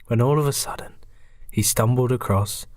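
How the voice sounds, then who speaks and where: A man hosting a podcast, narrating an improvised comic story in a deadpan storybook manner. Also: medium pitch, moderate pace, no echo, clean studio sound.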